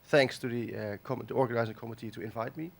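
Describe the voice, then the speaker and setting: A man's voice: mid-range voice, fairly even intonation, vocal fry. Man lecturing with a cold. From Netherlands. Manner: halting, with frequent fillers and restarts. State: has a cold. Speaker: conference speaker. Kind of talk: academic conference lecture. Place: conference hall.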